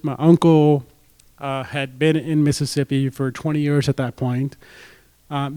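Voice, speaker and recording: A man's voice: on the high side, a little mellow. Chatty man speaking to a meeting room. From India. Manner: chatty, frequent "right?" asides. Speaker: guest speaker. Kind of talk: casual talk to a club audience.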